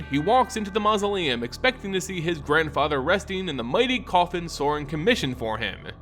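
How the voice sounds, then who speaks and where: A man reads a scripted fantasy tale as a dramatic storyteller. His voice sits a little high and moves widely in pitch, with a brooding, theatrical weight. Music plays softly behind him. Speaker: storyteller and lore narrator.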